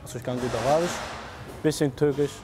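A man's voice: fairly high pitch, steady tone, fast talker. Young man, noisy workshop. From northern Syria. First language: Kurdish. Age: 20s. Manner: simple short sentences in learner German. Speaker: metalworker. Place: machine workshop. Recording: noisy workshop, mic at a distance.

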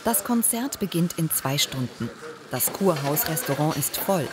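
A woman reading a documentary voice-over in a calm, even way, with some lines voicing over an interview subject. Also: sits low, a little slow, noisy background. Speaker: documentary narrator. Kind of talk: scripted voice-over narration. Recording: voice-over with music bed.

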